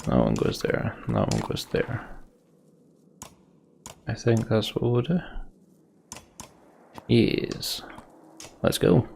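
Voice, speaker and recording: A man's voice: on the low side, wildly swooping pitch, slow, creaky, long pauses, a little mellow, a little hiss or hum. A young man thinking aloud as he plays a game, muttering to himself. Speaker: let's play host. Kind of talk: gameplay commentary.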